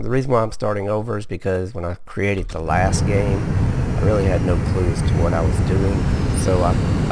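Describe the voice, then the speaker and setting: A man hosting a casual gameplay video, sleepy and relaxed, explaining each step as he goes with small restarts. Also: on the low side, background noise. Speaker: gaming video host. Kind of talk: casual let's-play commentary.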